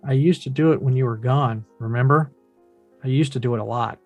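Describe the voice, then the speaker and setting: An actor in a script table read playing an earnest grown son, restrained and skeptical, questioning with a pointed edge. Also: male voice, medium pitch, a little fast, darkish tone, dry recording, lo-fi sound, faint music behind, close to an everyday voice.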